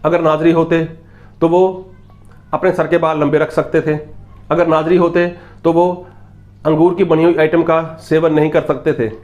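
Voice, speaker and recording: A preacher from Punjab speaks with a fairly high-pitched man's voice. He teaches by repeating his points and asking rhetorical questions, and he reads Bible passages aloud in an emphatic sermon style. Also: little pitch movement, measured pace, a little mellow, dull audio. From Punjab, India. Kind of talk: Hindi sermon with Bible readings.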